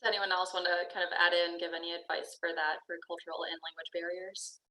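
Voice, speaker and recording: Bright-voiced woman moderating a panel. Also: lowish voice, little pitch movement, fast, low-quality recording. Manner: encouraging, hands turns to panelists.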